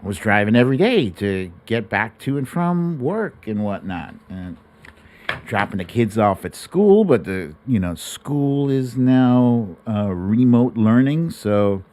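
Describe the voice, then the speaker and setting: Animated, slow-paced middle-aged man livestreaming. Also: medium pitch. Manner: animated, colloquial, salty street-talk phrasing. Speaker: livestream host. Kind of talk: casual livestream commentary, chatting with viewers. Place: home studio room, indoors. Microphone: close broadcast mic on boom arm.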